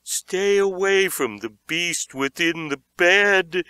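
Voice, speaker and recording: Guy acting out a scared whimpery boy from a kids horror audiobook, higher pitch, bright and jumpy. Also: slow, smooth, dry recording, full character voice. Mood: terrified. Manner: stammering, exclaiming in fear. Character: terrified young boy, jumpy and whimpering best friend.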